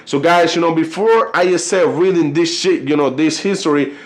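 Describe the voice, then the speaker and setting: A man from Colombia with a Colombian Spanish accent, a native Spanish speaker talking casually to camera as a vlogger, rambling with lots of fillers and stumbling when he reads aloud. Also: a little high. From Cartagena, Colombia. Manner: loose, rambling, constant "you know" and "like" fillers; halting when reading aloud. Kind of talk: casual video chat.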